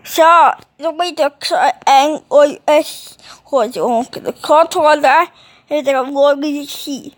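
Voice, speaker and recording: A woman talking casually in a halting, rambling way, with mumbled phrases, as in an improvised home video. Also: sits high.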